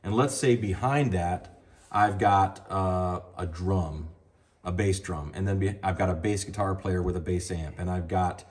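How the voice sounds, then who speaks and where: A man's voice: sits low, measured pace. A man giving a casual hands-on lesson on mixing sound, talking through what he does as he adjusts a mixing console and speaks into a handheld mic. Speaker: audio trainer. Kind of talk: hands-on sound desk tutorial. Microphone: handheld microphone.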